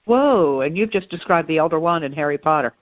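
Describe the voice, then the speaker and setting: Earnest woman, halting and deliberate, dull recording. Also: low voice, animated, no room sound. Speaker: podcast co-host. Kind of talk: reflective conversational discussion.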